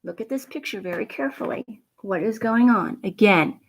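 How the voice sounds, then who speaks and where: A woman reading a picture book aloud to children, pausing with teacherly questions and emphatic asides. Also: mid-range voice, animated, gravelly. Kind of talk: read-aloud bedtime story with asides and questions to young viewers.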